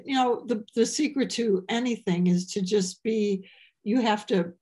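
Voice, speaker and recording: A woman comedian talking as an interview guest in a playful, halting way, with many restarts. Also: medium pitch. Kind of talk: casual interview chat.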